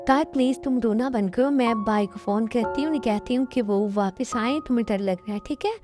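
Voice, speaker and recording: A woman's voice: on the high side, fairly quick, music in the background, close to an everyday voice. A woman voicing a caring young woman who reassures a crying friend on the phone. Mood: soothing. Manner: reassuring, coaxing.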